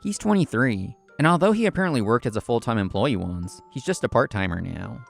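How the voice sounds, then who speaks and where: Earnest young male café manager narrating a manga story, played by a man; lively intonation, gravelly edge. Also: medium pitch, brisk, soft background music. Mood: earnest, reflective. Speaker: narrator and lead actor of a manga story video. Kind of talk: scripted first-person narration.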